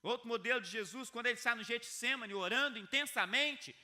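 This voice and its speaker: Male pastor, video sermon; high, very bright voice; earnest, repeats phrases, shifts between soft and loud. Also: a little fast. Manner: earnest preaching with wide swings between soft low passages and loud high emphasis; repeats key phrases for emphasis.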